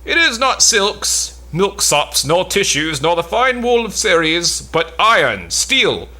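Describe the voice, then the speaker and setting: A man's voice: high-pitched, big pitch swings, slow pace, bright, forceful tone, full character voice. A man playing a boastful, bombastic mock-warrior knight in a pompous, declamatory amateur reading of an old stage play.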